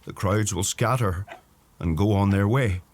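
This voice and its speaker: An actor with a Donegal Irish accent playing a brooding, awkward young farmer in a radio play. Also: male voice, fairly low pitch, unhurried, darkish tone, a little hiss or hum, natural voice. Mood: mournful. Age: young adult. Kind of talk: radio play acting with poetic monologue.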